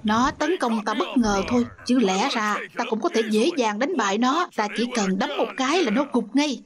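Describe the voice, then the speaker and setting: A woman with a high voice dubs every character of an animated series by herself. She slides between many character voices and drops some of them low and gruff. Loud music plays beneath her. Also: moderate pace. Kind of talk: one voice dubbing all cartoon characters.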